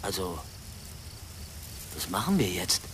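A man's voice: low voice, sing-song, takes it slowly, a bit bright, a little hiss or hum, exaggerated voice. A man playing a hesitant, flippant young student drone pilot in a German radio drama, muttering and slangy. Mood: distracted, muttering. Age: young adult.

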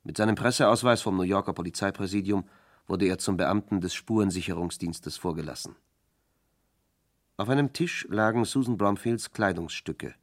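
A man's voice: low-pitched, normal speed. A man reading scripted narration for a German radio crime drama.

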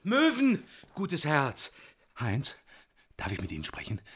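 A man playing a nervous, evasive man in a radio drama, with a husky, breathy voice and a jumpy delivery. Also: wildly swooping pitch, slow, a bit bright, full character voice. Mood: desperate, flustered. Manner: jumpy, swinging from cries to low mutters. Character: nervous, evasive man, desperate under accusation.

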